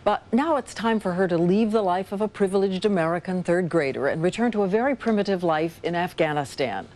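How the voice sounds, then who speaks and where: Woman, news anchor, low voice, studio, lapel mic, composed. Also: animated, fairly quick, a little mellow. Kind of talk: scripted studio introduction. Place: television studio.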